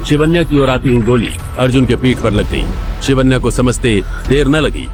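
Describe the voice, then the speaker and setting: A man's voice: mid-range voice, moderate pace, a little mellow. A man narrating a dramatic audio story in a scripted storytelling style. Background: background music.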